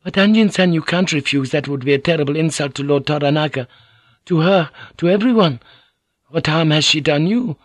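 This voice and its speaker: A man's voice: on the high side, fairly quick, no echo, voice pushed up, close to an everyday voice. Brief: male narrator doing a polite, earnest noblewoman in an audiobook, raised and lilting, dry close sound. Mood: earnest, apologetic. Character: gracious young Japanese noblewoman interpreter, anxious. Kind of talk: audiobook character voice.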